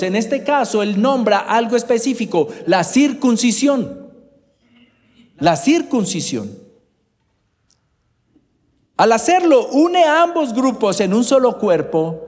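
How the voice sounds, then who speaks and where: Slow, lively Colombian preacher in a hall. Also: male voice, extremely high pitch. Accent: Bogotá Colombian Spanish. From Bogotá, Colombia. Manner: addresses congregation members by name. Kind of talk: sermon. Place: church hall. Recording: reverberant church hall.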